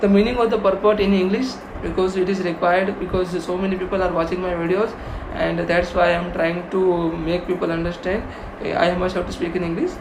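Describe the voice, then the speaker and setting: A spiritual teacher with an Indian accent giving a devotional lecture in an earnest, repetitive, halting way. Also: medium pitch, level tone. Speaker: spiritual teacher and video host. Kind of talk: devotional lecture with verse recitation. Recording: roomy echo, faint background noise.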